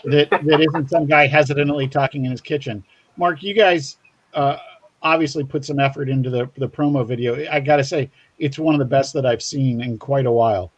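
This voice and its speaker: A man's voice: medium pitch, fairly quick. A man co-hosting a casual interview over an online call with muffled audio, framing his questions hesitantly. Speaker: co-host, interviewer. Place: remote online video call.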